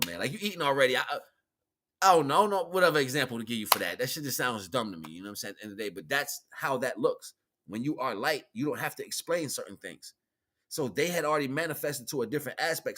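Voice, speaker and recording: A man's voice: fairly high pitch, pushed and bright. An American man giving a casual, off-the-cuff spiritual monologue in a slangy, streetwise, emphatic way, often repeating his points. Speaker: streamer giving spiritual teachings.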